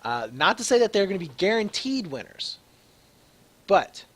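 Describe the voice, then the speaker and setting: A young man doing solo play-by-play commentary on an online shooter match, his voice slightly raspy from a long day, filling pauses with "uh" and getting excited on big plays. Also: high voice. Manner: chatty, fills with "uh", grows excited on big plays. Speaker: shoutcaster.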